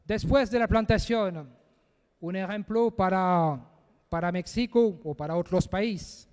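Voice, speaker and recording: Conference speaker, male, high and smooth voice, slow and halting as he works through a second language, echoey lecture hall. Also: darkish tone. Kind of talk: technical presentation.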